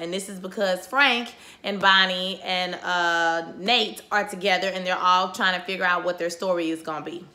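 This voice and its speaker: Bright-voiced chatty woman recapping a show. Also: medium pitch. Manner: chatty, slangy, animated retelling. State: losing her voice. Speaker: TV recap vlogger. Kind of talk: casual episode recap.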